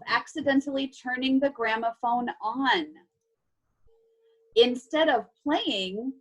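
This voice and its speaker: A woman's voice: a little high, rough recording. A woman teacher reading a story aloud to children and explaining things simply. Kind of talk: expressive storybook read-aloud with chat to children. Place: online class video call.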